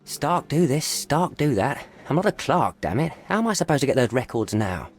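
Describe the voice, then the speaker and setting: A put-upon male police officer in a game cutscene. He is blunt and sardonic, griping in a gruff manner. His mid-pitched voice moves with animated, expressive swings. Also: normal speed. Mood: exasperated. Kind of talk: video game cutscene acting.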